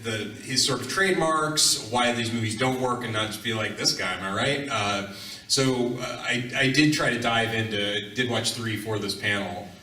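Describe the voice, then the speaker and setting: A man on a live convention panel, talking casually in a halting, rambling, wry way. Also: mid-range voice, bright, forceful tone. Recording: echoey room, distant mic, faint noise.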